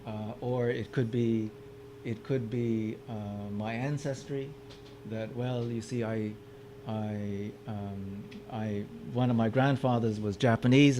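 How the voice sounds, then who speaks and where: A man's voice: fairly low pitch, fairly even intonation, unhurried, noisy background. A man giving a public lecture with slides, often stopping to repeat his words as he explains. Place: meeting room with audience.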